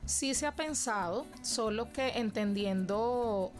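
Earnest Venezuelan woman, measured and reflective. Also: on the high side, over music. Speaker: guest, cultural educator. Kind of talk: interview answers.

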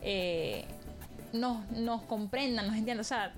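A woman's voice: fairly high pitch, takes it slowly, soft background music. A woman interviewing a guest, asking long, hesitant questions full of fillers. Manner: hesitant and rambling, with many fillers.

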